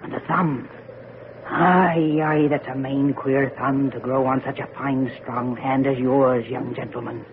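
An actress playing an old country herbalist and fortune-teller, ominous and sharp-tongued, with rustic old-fashioned speech in a radio drama. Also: female voice, extremely low pitch, steady tone, measured pace, crowd noise. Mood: stern, foreboding. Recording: noisy, tinny old radio recording.

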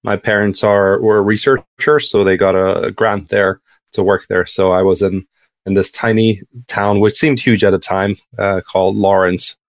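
A man in his thirties from Hungary, a podcast guest telling his story casually and haltingly, often restarting sentences. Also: on the low side, flat delivery, no room sound.

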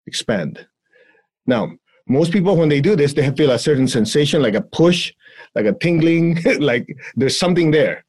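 A man with a Chinese accent teaching energy exercises in a podcast chat, playful and quick to laugh between calm instructions. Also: a little high. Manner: playful, laughs often, breaks into giggles.

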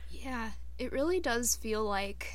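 Higher-voiced woman in casual podcast chat. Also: lively intonation, takes it slowly. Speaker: podcast co-host. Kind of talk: casual film discussion chat.

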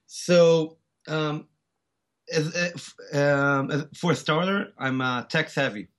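A man's voice: a little high, slow, fairly bright tone. A man in his forties from Israel with an Israeli accent, a startup founder speaking as an interview guest, hesitant with many fillers and restarts. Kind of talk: interview conversation.

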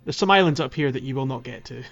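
A man's voice: medium pitch, fast, a bit bright. A man co-hosting a podcast, talking casually as he guides listeners through a video game. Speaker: podcast co-host. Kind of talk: casual chat, guiding a game playthrough. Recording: slightly dull lo-fi sound, music behind. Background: background music.

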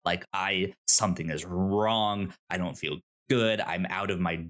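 A man co-hosting a podcast, casually retelling scenes in a halting way, repeating words and acting out dialogue. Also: low voice, fairly bright tone. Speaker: podcast co-host.

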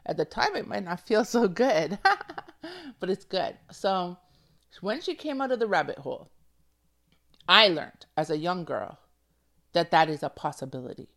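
A woman's voice: fairly low pitch, a bit bright. A woman touched by speech hosts a podcast and talks in an earnest, emotional way, often repeating phrases to press a point. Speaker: podcast host. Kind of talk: casual heartfelt monologue.

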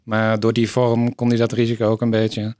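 A man puts questions to a guest in an informal way. His voice sits a little below average in pitch and is recorded dry, with no audible room. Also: monotone, moderate pace, a little mellow, clear, resonant tone, no room sound. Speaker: interviewer. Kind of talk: casual book interview.

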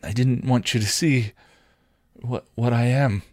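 A man's voice: deep voice, steady tone, moderate pace, darkish tone, slightly rough, no room sound, clean studio sound. A man voicing a timid, guilt-ridden young woman who stammers apologetically in a story reading. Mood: frightened, apologetic.

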